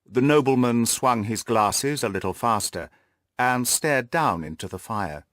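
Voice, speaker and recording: A man's voice: mid-range voice, expressive, unhurried, fairly bright tone, no echo, studio quality. A man reading scripted literary narration as the narrator of an audiobook.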